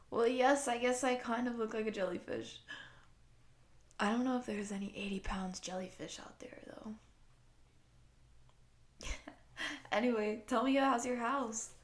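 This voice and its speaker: A woman's voice: mid-range voice, gravelly. A woman voicing a goofy young female slime creature who chats casually and directly to the listener in an audio roleplay. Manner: casual slangy chatter with giggles.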